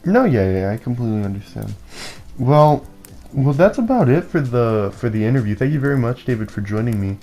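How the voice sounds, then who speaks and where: A man's voice: mid-range voice, lively intonation, fairly quick, mellow, muffled recording. A young man whose first languages were Spanish and Japanese, interviewing a friend in a casual, hesitant way with many fillers.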